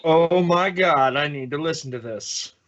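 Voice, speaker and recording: A woman's voice: low-pitched, unhurried, dull audio. A woman co-hosting a casual podcast discussion, chiming in conversationally. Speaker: podcast co-host.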